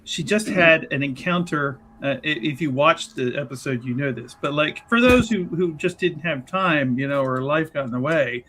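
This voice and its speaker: A man gives a casual game recap in a halting, wryly understated way. His voice is on the high side and bright, with faint noise behind it. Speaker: player on an actual play show. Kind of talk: casual recap and character introduction.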